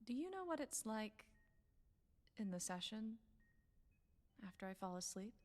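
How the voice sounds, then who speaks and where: An actress playing a wary, defensive patient in a radio drama, with a halting, skeptical way of talking. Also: female voice, mid-range voice, big pitch swings, darkish tone. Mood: uneasy, curious. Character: wary, defensive female patient, questioning everything. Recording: echoey, long reverb.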